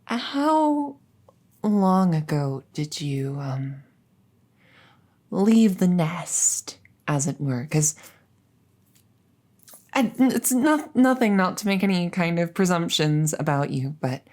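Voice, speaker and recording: Woman doing a flustered princess for audio roleplay, deep for a girl, takes her time, stammers, nervous and coy. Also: expressive, a little mellow. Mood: curious, coy. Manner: halting, stammering, nervously giggly. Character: flustered young crown princess, coy, secretly delighted captive. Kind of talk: intimate audio roleplay acting addressed to the listener.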